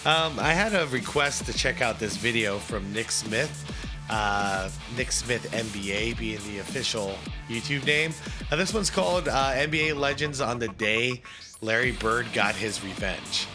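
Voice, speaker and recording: A man with a hoarse voice from a cold, chatting casually with many fillers as he hosts a reaction video. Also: fairly low pitch, unhurried, music in the background.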